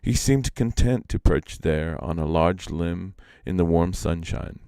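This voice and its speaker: Deep, gravelly theatrical male storyteller. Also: a little slow, dry recording, studio quality. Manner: theatrical, expressive. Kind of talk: dramatic live reading of folk legends.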